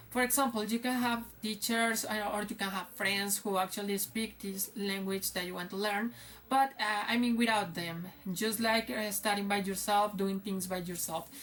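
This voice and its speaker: A woman's voice: medium pitch, fairly bright tone, recorded from a distance. A young woman with a Spanish accent, an English teacher chatting casually in a vlog with many restarts. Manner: conversational, many fillers and restarts.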